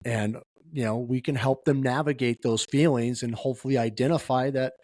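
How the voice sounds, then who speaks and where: A man's voice: medium pitch, steady tone, moderate pace, a little mellow, dry recording, clean studio sound. A man hosting a conversational podcast interview as a peer-support advocate, speaking earnestly and with emphasis.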